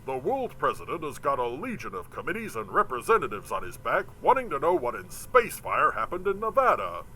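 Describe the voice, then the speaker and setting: A man's voice: fairly high pitch, wildly swooping pitch, brisk, pushed and bright, slight background noise, voice pushed up, exaggerated voice. A man voicing a stern, snappish colonel in an audio drama. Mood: curt, pressured. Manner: snappish, official. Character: stern, curt male colonel under political pressure.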